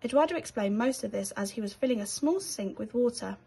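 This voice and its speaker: A woman with a British accent reading a children's bedtime story aloud in an expressive storytelling style. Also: on the high side, a little fast, vocal fry, slightly rough.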